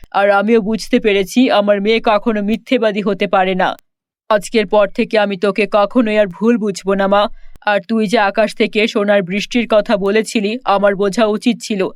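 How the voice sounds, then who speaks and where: A man's voice: high voice, level tone, moderate pace, clear, resonant tone, no echo, studio quality, natural voice. A man playing a remorseful king and doting father in a children's cartoon story, speaking earnestly and apologetically.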